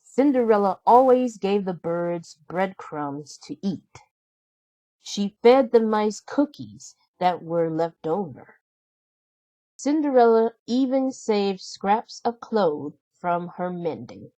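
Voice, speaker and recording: A woman narrates a children's storybook. She reads slowly and deliberately, with animated, expressive intonation. The sound is dry, with no room around it. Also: medium pitch, no echo. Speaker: storybook reader. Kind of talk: children's read-aloud narration.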